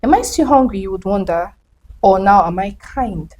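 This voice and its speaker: A young woman reads her own prose in a slow, reflective spoken-word style, then turns to asking questions as host. Her voice sits at an ordinary middle pitch. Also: lively intonation, darkish tone, dull audio. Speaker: host and writer.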